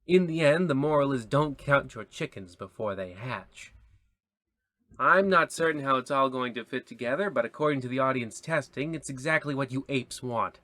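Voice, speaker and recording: An actor playing a wry, disdainful radio anthology narrator with a deadpan, mock-solemn sign-off style. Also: male voice, medium pitch, normal speed, no echo.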